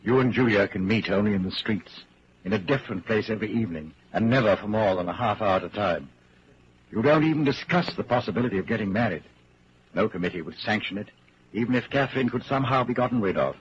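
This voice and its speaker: A man's voice: fairly low pitch, animated, fast, lightly acted. Actor as a sombre man narrating, muffled old radio. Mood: grave, hopeless. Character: sombre male narrator addressing the doomed hero as you. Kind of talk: 1940s radio drama narration. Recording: muffled, noisy 1940s radio broadcast recording.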